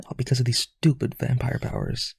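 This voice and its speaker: A man playing a lonely, rich vampire aristocrat in an audio roleplay, eager to please, hesitant and pleading, with a husky, creaky voice. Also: medium pitch, little pitch movement, brisk, darkish tone, no room sound, clean studio sound, heavily acted.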